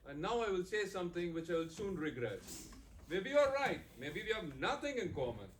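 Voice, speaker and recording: An actor playing a tired, buttoned-up young lawyer husband, exasperated and lecturing. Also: male voice, sits high, big pitch swings, brisk, recorded from a distance. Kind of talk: stage play acting. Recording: echoey room, faint noise.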